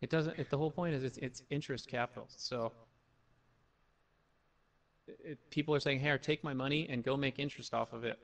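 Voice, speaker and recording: A man in a casual gaming chat, excitable and quick to argue a point. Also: mid-range voice, vocal fry, recorded from a distance.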